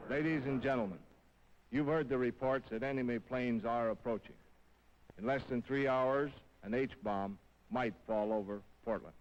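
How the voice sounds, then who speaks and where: A man's voice: medium pitch, moderate pace. Man making a muffled formal announcement. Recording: muffled, noisy 1950s film soundtrack.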